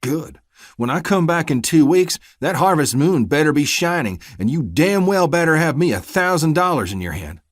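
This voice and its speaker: A man's voice: medium pitch, lively intonation, normal speed, dry recording, studio quality, pitched above the performer's own voice, close to an everyday voice. A man doing a snarling bully deputy. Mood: menacing. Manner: menacing, threatening. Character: brutal, bullying corrupt male deputy.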